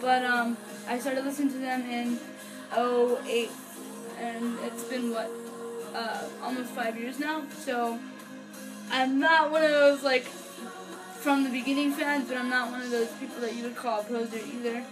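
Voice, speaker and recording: High-pitched young woman, earnest fan vlogging. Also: fairly even intonation, unhurried, over music, rough recording. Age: young adult. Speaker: vlogger, fan album reviewer. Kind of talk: casual unscripted review to camera. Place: small furnished bedroom, indoors. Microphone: camera or webcam a few feet away.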